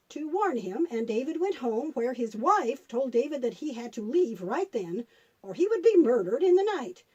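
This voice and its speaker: A patient granny puppet, played by a woman, tells a children's Bible story in a gently instructive way. The voice sits high, with lively melody and a soft, mellow tone. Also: husky, lo-fi sound, heavily acted. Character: patient grandmother puppet telling Bible stories.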